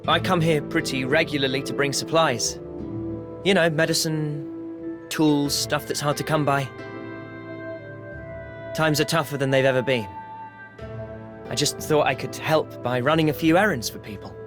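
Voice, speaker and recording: An actor playing an easygoing but determined young wanderer in a video game, casual, friendly and earnest. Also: male voice, fairly high pitch, steady tone, normal speed, pauses often, close to an everyday voice. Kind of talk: video game cutscene acting. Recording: music behind the voice.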